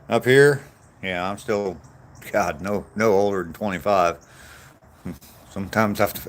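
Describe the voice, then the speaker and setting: A man in his sixties chatting casually on a walk, answering viewer comments in a meandering, self-deprecating way. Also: on the low side. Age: 60s. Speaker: vlogger reading live chat on a walk. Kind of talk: casual rambling chat. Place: outdoors on a walk.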